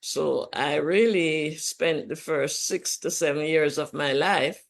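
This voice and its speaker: An older woman from Jamaica, a guest being interviewed, reflective and quick to chuckle. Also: low voice, gravelly, slight background noise. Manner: chuckling, reflective, repeats words as she gathers thoughts. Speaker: guest author. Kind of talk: interview conversation.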